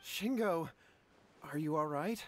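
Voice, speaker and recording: A man voicing a gentle, concerned young man in a video game. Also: on the high side, takes it slowly, soft background music. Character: steady, worried young man, a friend.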